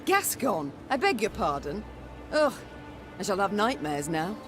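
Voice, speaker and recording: A woman's voice: mid-range voice, very animated, measured pace. A woman playing a stern, imperious warrior queen in a video game, speaking formally and with command over background music. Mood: haughty, wry. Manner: formal, commanding, archaic phrasing. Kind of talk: scripted video game voice acting.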